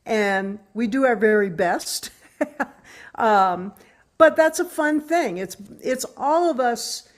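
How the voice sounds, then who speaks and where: A woman's voice: fairly high pitch, lively intonation, takes it slowly. An older American woman, a musician speaking casually as an interview guest.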